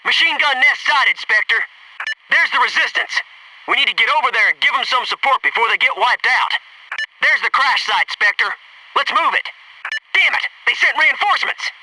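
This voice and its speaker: An actor playing a brash, wisecracking American military commando in a video game, with clipped, gung-ho tactical talk and wry slang. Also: male voice, very high-pitched, sharp tone, distant mic, a little hiss or hum, full character voice, poor audio. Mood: alarmed, barking. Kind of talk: video game voice acting, tactical battlefield barks.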